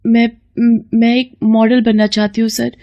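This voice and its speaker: Woman playing a soft, earnest young woman. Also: a little high, flat delivery, dull audio. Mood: frightened, pleading. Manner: polite, halting, mixes English into Hindi. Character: earnest young female cleaner and aspiring model. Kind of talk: dramatised moral-story acting.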